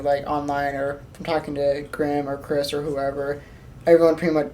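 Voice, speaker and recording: Very low, mellow-voiced woman. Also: rough recording. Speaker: podcast co-host. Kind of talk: casual chat.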